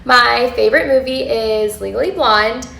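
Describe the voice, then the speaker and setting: A law student in her twenties from Florida introduces herself, composed and matter-of-fact. Her voice is heavy with gravelly fry and a little high for a woman. The room around her is echoey. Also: moderate pace, bright, forceful tone. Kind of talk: self-introduction.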